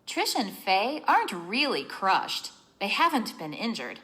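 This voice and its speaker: A woman reading a children's book aloud in an expressive, teacherly way, explaining as she narrates. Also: mid-range voice, measured pace.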